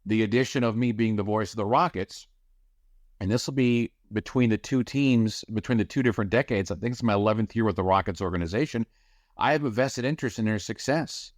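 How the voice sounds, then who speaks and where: A man with a somewhat low voice talks sports in a relaxed, emphatic way, circling back to the same point more than once. The sound is dry and close, with no room around it. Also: a little fast, dry recording. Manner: conversational, emphatic repetition, filler "uh". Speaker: sports radio host and play-by-play announcer. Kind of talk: podcast interview answer.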